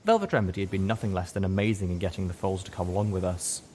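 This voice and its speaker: A man reading a scripted story aloud as an expressive audiobook narrator. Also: low-pitched, very fast, slight background noise.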